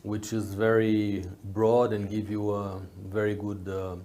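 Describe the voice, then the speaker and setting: Low-voiced man, halting in thought. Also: completely flat delivery, mellow. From Brazil, grew up in Rio. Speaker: interview guest, hotel executive. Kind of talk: conversational interview answers.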